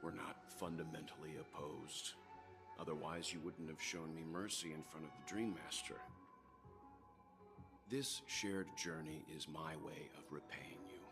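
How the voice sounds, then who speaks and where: A man playing a calm, reassuring gentleman mentor in a video game, speaking in a measured, formal way. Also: a bass voice, creaky, over music, full character voice. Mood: warm, reassuring. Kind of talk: dramatic video game dialogue acting.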